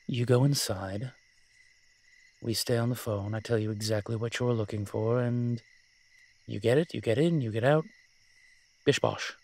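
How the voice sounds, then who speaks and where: A man playing a roguish incubus demon in a roleplay audio drama, talking in a sly, teasing, conversational way. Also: mid-range voice. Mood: reluctant, persuading. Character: smooth-talking roguish male incubus demon, playful and scheming.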